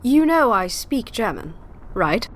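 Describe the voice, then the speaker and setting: A woman's voice: a little high, big pitch swings, slow pace, slight background noise. A woman playing a wry, hesitant professor in a pulp adventure audio drama. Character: wry, uneasy woman professor with secret power.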